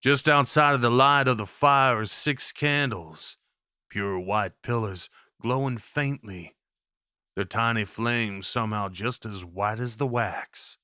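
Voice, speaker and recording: A man's voice: medium pitch, takes it slowly, pushed and bright, clean tone, no echo. A man reading scripted second-person story narration for an audio roleplay, recorded close.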